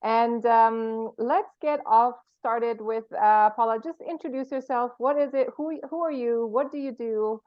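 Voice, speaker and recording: A woman's voice: on the high side, unhurried, few pauses, no echo, dull audio. A woman hosting an interview over a video call, asking questions in a friendly way and laughing between them. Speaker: interview host.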